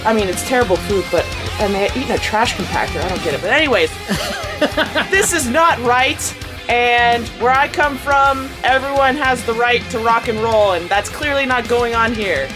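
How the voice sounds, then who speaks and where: High-pitched woman, rambling, theatrical comedy improv, clear music behind her. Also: normal speed, low-quality recording. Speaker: panelist on a comedy podcast. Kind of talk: improvised comedic role-play.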